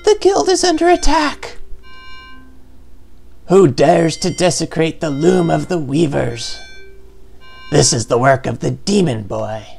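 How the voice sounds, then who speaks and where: A woman's voice: deep voice, very animated, slow, creaky, long pauses, a little mellow, slightly rough, soft background music, exaggerated voice. A woman voicing a stern, grave fantasy guild elder in solemn, formal speech, as in game dialogue. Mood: outraged. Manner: solemn, formal, archaic diction.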